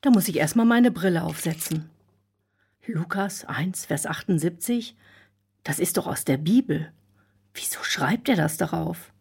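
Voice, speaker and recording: A woman's voice: lowish voice, expressive, measured pace, gravelly, darkish tone, slightly rough, exaggerated voice. An actress playing a proud, curt woman who speaks formally in a German children's audio drama. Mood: puzzled muttering. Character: Gabi, proud bitter woman who softens. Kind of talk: German children's radio play acting.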